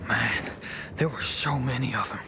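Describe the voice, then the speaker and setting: A man's voice: medium pitch, normal speed, lightly acted. A man acting a hot-tempered, resentful prisoner in a video game cutscene, accusing and foul-mouthed. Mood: glum. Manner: agitated, accusing, profane outbursts. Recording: music behind the voice.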